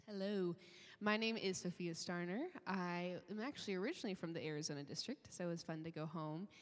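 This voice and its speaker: Young woman, casual and a bit unsure, lower voice, big reverberant church hall. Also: fairly quick. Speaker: university admissions worker. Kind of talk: brief self-introduction. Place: church sanctuary.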